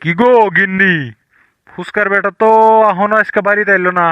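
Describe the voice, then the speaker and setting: A man as a high-voiced, trusting village father. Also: steady tone, brisk, pushed and bright, clean tone, no room sound, muffled recording. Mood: worried, grumbling. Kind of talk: comedy cartoon voice acting.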